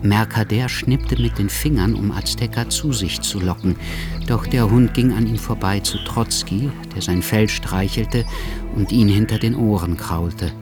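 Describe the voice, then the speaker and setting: A man narrates a radio drama in a low-pitched voice. The delivery is scripted storytelling. Music runs clearly beneath his words. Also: unhurried. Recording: studio production with music underneath.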